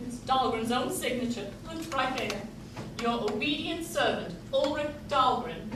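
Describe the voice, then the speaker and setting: A woman playing a haughty, volatile Confederate First Lady in a stage play, speaking in an imperious, dramatic, deliberate manner. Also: sits high. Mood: insistent. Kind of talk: theatrical stage acting. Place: theatre stage, miked from a distance. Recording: echoey stage from far off, noisy.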